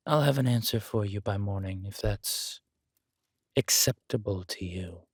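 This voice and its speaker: A man playing a controlled, vengeful villain king who speaks with measured, quiet menace in an intimate audio roleplay. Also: on the low side, slightly rough, no echo, studio quality. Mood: coldly courteous.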